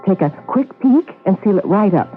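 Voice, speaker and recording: A woman's voice: medium pitch, expressive, moderate pace, faint music behind, natural voice. A woman playing an eager, nosy, coaxing wife in a 1970s radio mystery, insistent and sure of her intuition. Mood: coaxing, curious. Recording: muffled vintage radio broadcast, faint noise.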